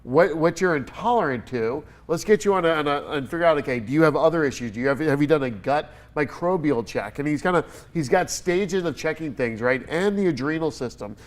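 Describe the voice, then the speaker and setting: A man hosting a casual studio interview, sounding congested and telling stories with lively energy and laughter. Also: a little high, brisk, few pauses. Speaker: podcast host.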